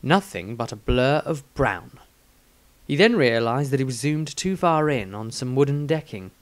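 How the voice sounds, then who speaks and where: A man's voice: medium pitch, lively intonation, moderate pace, no echo. A man narrating a fantasy story expressively, as an author reading his own work.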